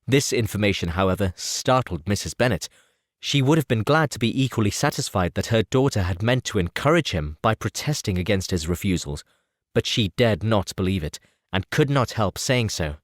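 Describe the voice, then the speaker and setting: A man narrating a classic novel as an audiobook in a steady, expressive reading. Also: on the low side, normal speed, no echo, studio quality. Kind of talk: scripted narration.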